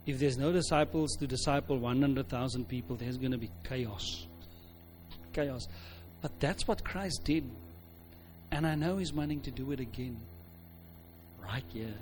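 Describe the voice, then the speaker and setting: A man's voice: medium pitch, measured pace, darkish tone, slight background noise. A man with a South African accent giving an informal sermon, speaking emphatically and conversationally, often repeating phrases for weight. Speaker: pastor, preacher.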